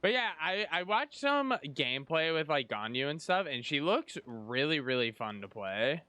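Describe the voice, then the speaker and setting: A man's voice: fairly high pitch, very animated, normal speed, sharp tone, smooth, no room sound. A young man giving casual gameplay commentary as a streamer, rambling and thinking aloud as he plays. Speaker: gaming streamer.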